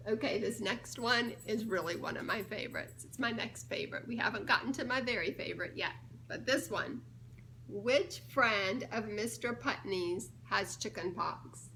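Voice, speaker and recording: A woman's voice: medium pitch, moderate pace, bright, forceful tone, a little hiss or hum. A woman reading a picture book aloud to young children, playfully coaxing them to guess answers in a giggly manner. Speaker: storybook reader, children's ministry director. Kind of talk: children's story time read-aloud with questions to young listeners.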